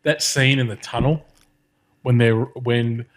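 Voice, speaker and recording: A man's voice: medium pitch, fairly even intonation, slow pace. A man co-hosting a podcast, chatting casually about films with lots of fillers and restarts. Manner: rambling, many fillers and restarts. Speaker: podcast co-host. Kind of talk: casual film discussion.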